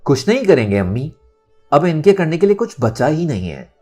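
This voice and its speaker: A man's voice: fairly high pitch, sing-song, normal speed, darkish tone, soft background music, voice pushed up. A man voicing a defiant, outspoken young woman in an audio novel. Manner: bold, firm, outspoken. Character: defiant young woman standing up to family patriarch.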